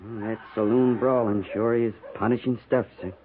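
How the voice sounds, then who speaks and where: A man's voice: medium pitch, steady tone, normal speed, close to an everyday voice. A man playing a respectful, folksy cavalry sergeant in 1950s radio drama. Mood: dutiful. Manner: deferential, folksy. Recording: narrow-band, faintly noisy 1950s radio recording.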